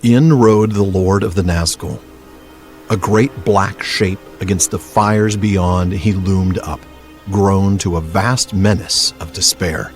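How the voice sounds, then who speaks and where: A man's voice: low-pitched, steady tone, takes it slowly, soft background music. A man co-hosting a book podcast, moving between casual talk and dramatic narration of fantasy prose. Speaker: podcast co-host, book reader.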